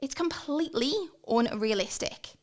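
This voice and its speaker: A woman in her thirties with an English accent, giving an energetic, emphatic podcast talk as a guest consultant. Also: a little high, normal speed. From England. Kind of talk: sponsored podcast monologue.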